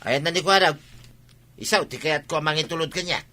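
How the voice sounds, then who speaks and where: A male actor plays a cheerful, vain village show-off in a comic radio drama, with an Ilocano Filipino accent. His voice is slightly high and extremely bright and forceful. He exclaims and boasts freely. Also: a little fast. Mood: insistent.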